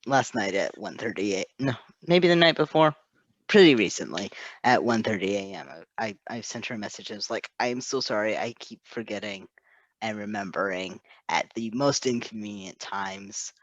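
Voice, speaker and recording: A man chatting casually in a halting, self-deprecating, giggly way. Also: fairly low pitch, no echo, dull audio. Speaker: co-host, fan fiction proofreader. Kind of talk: casual rambling chat.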